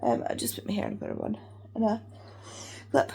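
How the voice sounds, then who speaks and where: A woman with a hoarse, congested voice, chatting casually in a rambling way as a book vlogger. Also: mid-range voice, huge pitch swings, a little fast, mellow. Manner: rambling, chatty, many fillers. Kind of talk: casual vlog chat.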